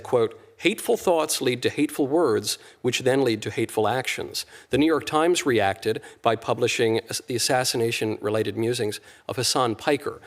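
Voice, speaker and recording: An American man speaking at a hearing, delivering prepared political remarks in a measured, formal, polished manner. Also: mid-range voice.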